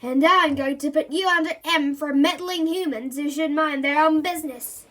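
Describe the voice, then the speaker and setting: A woman's voice: moderate pace, fairly bright tone. A woman reading a children's story aloud and voicing a fussy, growling monster that is obsessed with filing. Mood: bossy. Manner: booming, indignant, growling.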